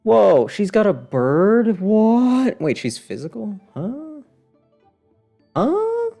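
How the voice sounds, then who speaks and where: A man's voice: high voice, wildly swooping pitch, drawn-out words, gravelly, mellow. A man giving casual live commentary on a video game, talking to himself and his viewers in a wry, sarcastic way. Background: game music.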